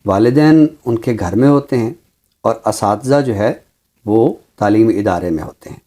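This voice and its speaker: A man speaking as a teacher, explaining his views deliberately with emphatic repetition. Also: fairly low pitch, dark tone. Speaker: Urdu teacher, programme guest. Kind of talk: considered discussion, explanatory.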